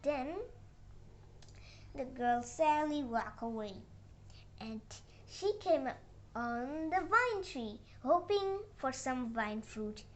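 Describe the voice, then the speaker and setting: A child narrating a moral fairy tale with halting, uneven phrasing and dramatic emphasis on the dialogue. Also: high voice, animated, fairly bright tone. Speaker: storyteller.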